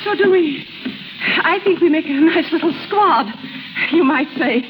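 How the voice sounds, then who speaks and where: A gushing, silly spinster schoolmarm for vintage radio. Her twittering voice is piercingly high and very lively, on a dull old broadcast. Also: unhurried. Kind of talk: 1930s radio character acting.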